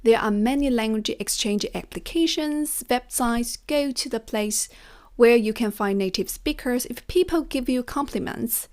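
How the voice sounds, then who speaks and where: A woman's voice: a little high, darkish tone. A woman whose first language is Chinese, talking straight to her viewers in an earnest, encouraging way about learning languages. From China. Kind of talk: personal vlog monologue.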